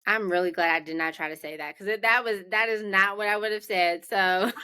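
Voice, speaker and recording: A woman's voice: medium pitch, fairly even intonation, a little fast, sharp tone, clear, resonant tone. A woman hosting an interview podcast, talking in a conversational introductory style to listeners and her guest. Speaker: podcast host.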